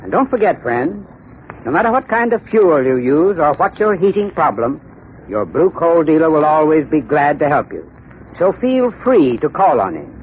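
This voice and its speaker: A man's voice: on the high side, sing-song, normal speed. A man giving a formal, courteous, scripted advertising talk as an expert on 1930s radio. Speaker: sponsor's heating expert. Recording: dull, hissy 1930s radio recording.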